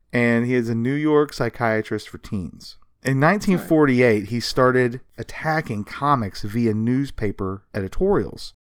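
An animated male podcast co-host tells stories in a casual, comedic style, swearing freely. His pitch swings widely as he goes, and the sound is dry and close, with very little room around it. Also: clean tone, dry recording. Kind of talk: casual comedic history chat from notes.

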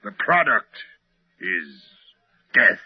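A suave, cold Martian invader in an old radio drama. He speaks with polite, gloating condescension in a husky voice of middling pitch. The dry recording is muffled like an aged broadcast. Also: sing-song, drawn-out words, dry recording, heavily acted. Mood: gloating, grandiose. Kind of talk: mid-century American radio drama acting.

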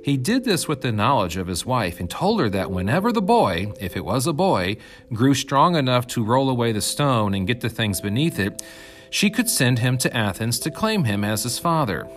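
A man's voice: medium pitch, big pitch swings, moderate pace, faint music behind. A man reading an ancient myth aloud as scripted storytelling narration.

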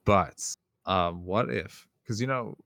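A man's voice: lowish voice, slow pace, creaky, a bit bright, no echo. A man co-hosting a casual podcast, talking enthusiastically and rambling, often restarting sentences. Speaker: podcast co-host. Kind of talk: casual chat.

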